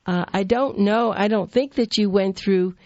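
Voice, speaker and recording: A woman's voice: medium pitch, moderate pace, mellow, dry recording, muffled recording. A woman hosting an interview show, gracious and full of praise for her guest. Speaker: talk show host.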